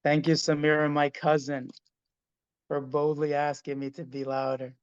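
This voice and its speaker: A man hosting a live guided meditation over a video call, speaking slowly and softly. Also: fairly high pitch, level tone, clean tone, no echo, lo-fi sound. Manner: slow, soft, soothing delivery. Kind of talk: guided meditation, then casual chat.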